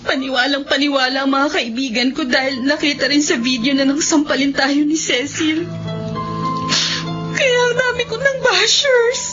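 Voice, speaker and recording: A woman's voice: high-pitched, moderate pace, close to an everyday voice. A woman playing a distressed, plaintive young woman in a Filipino radio drama. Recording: dull lo-fi radio, loud music.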